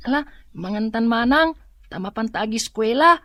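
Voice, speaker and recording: High-voiced young boy. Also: animated, fairly quick, pushed and bright, no room sound. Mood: casual, puzzled. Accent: Ilocano. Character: young boy, Junior, plain-spoken son. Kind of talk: Ilocano radio drama acting.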